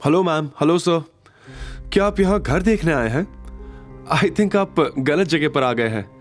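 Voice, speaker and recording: A man's voice: a little high, unhurried, faint music behind, voice pushed up, lightly acted. A man playing a snooty saleswoman. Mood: condescending. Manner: dismissive, fake-polite. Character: snooty saleswoman, adult female, condescending.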